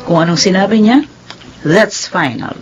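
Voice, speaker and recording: A woman's voice: mid-range voice, a little slow, soft background music, close to an everyday voice. An actress playing a patient middle-aged mother in a radio drama, reasoning and placating, on a dull recording. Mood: concerned, reasoning.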